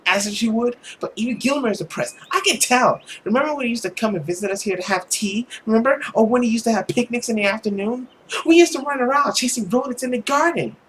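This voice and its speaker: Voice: mid-range voice, fast talker, fairly bright tone, slight background noise, voice pushed up, natural voice, low-quality recording. A reader as a brash, indignant teenage girl. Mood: earnest, exasperated. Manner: indignant, emphatic, ranting. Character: hot-tempered, brash, foul-mouthed sixteen-year-old girl.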